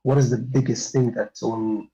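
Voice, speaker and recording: A man's voice: medium pitch, level tone, moderate pace, dark tone, dull audio. A man whose first language is Arabic, calling in to a live stream and talking hesitantly with many fillers. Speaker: caller. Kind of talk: casual call-in chat.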